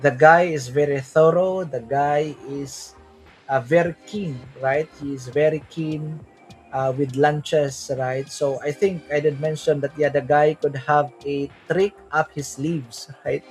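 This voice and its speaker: A man's voice: on the high side, fairly even intonation, measured pace, darkish tone, soft background music. A Filipino man hosting a casual livestream, rambling with many fillers and tag questions. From Philippines. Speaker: livestream host. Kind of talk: casual livestream crypto chat.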